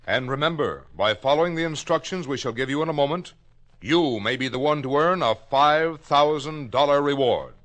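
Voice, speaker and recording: A man's fairly high voice with an American accent reads out facts in 1950s radio announcer style. His delivery is clipped and orderly. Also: expressive, moderate pace, fairly bright tone. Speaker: second announcer.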